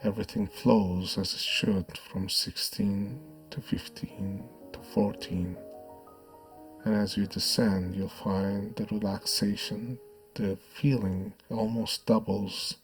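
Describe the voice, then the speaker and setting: A man speaking as a hypnotist, narrating a guided trance in a soothing, measured, hushed delivery with lingering pauses. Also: on the low side, level tone, faint music behind.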